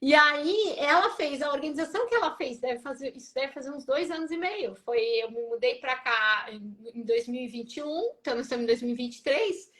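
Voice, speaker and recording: Chatty woman host, high voice, video call interview. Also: animated, a little fast, hardly any pauses, fairly bright tone. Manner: chatty, frequent "né?" tag questions. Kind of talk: casual live interview. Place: home office.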